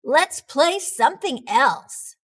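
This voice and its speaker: A woman's voice: high-pitched, very animated, slow pace, a bit bright, voice pushed up, full character voice. A woman voicing an eager, friendly young male cat in a storybook, enthusiastic and emphatic.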